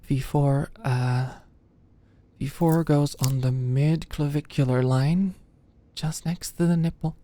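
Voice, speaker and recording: Low-voiced, nervous young female med student acted out by a woman. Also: fairly even intonation, takes it slowly, mellow. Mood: focused, nervous. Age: young adult. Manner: stammering, hesitant, muttering asides under breath. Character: anxious, flustered young female medical student, shy and apologetic. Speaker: voice actor in audio roleplay. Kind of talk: intimate ASMR roleplay.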